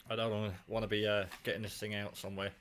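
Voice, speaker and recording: A man's voice: on the low side, little pitch movement, rapid-fire, a bit bright. A man giving a casual hands-on show-and-tell of his hobby kit, offhand and muttering to himself as he works, recorded on a handheld camera. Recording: clear background noise, clicks of handling.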